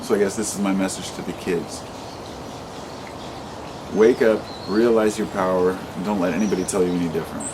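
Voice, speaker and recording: Low-voiced man ranting in a vlog. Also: steady tone, fairly quick, long pauses, noisy background. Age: 30s. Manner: rambling and impassioned, with profanity and restarts. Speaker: vlogger. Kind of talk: unscripted monologue to camera.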